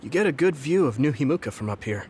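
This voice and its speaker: Earnest young man with lively pitch , an actor's role. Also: fast talker, slight background noise, lightly acted. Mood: earnest, hopeful. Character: earnest, gentle, idealistic young male fighter. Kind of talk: English video game voice acting.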